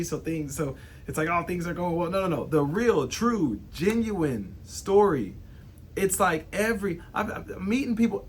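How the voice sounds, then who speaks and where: A man's voice: a little high, a bit bright, a little hiss or hum. A young man hosting a show, giving an earnest, heartfelt monologue full of "you know" and "right". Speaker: host.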